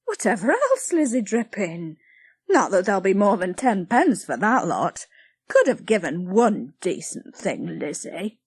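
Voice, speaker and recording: A woman voicing a sharp-tongued middle-aged village baker who scolds briskly and dismissively. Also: mid-range voice, sing-song, husky, no room sound, exaggerated voice.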